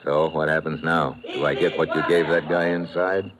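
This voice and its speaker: Deep-voiced hard-boiled American investigator. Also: male voice, steady tone, normal speed, a loud crowd. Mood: wry, defiant. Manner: terse, dry, tough. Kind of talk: first-person noir narration and radio acting. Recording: noisy old-time radio recording.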